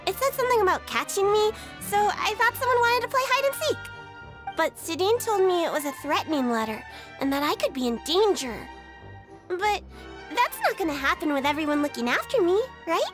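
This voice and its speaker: A woman's voice: very high voice, very animated, normal speed. A woman voicing an innocent, childlike little female creature in a video game, earnest and a bit hesitant. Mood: innocent, puzzled. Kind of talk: video game character dialogue. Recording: loud music underneath.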